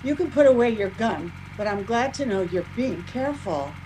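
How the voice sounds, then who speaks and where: A woman's voice: fairly high pitch, animated, normal speed, a little mellow, a little hiss or hum, close to an everyday voice, low-quality recording. A woman playing an anxious, grieving young sister in an old time radio drama, earnest and questioning, recorded over a video call. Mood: worried, tender. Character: worried, grief-stricken young woman, sister of a slain trooper.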